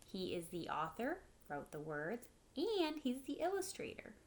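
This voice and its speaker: A woman's voice: a little high, huge pitch swings, measured pace. A woman in her thirties reading a picture book aloud as a teacher, expressively, adding simple explanations for children. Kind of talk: children's read-aloud with short explanatory asides. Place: living room at home, indoors.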